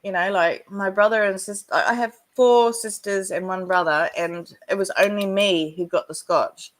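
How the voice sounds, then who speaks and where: A woman's voice: mid-range voice, steady tone. Stuffy-voiced woman sharing in a meeting. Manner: halting, many restarts, laughs between sentences. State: stuffy from a cold. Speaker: recovery meeting participant. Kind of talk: casual group sharing. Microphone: video call.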